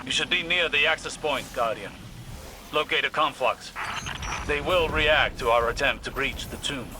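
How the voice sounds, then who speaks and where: An actor playing a measured, intense scholar-mentor in a video game, speaking with precise authority. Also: male voice, mid-range voice, background noise, close to an everyday voice. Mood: commanding. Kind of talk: dramatic video game acting.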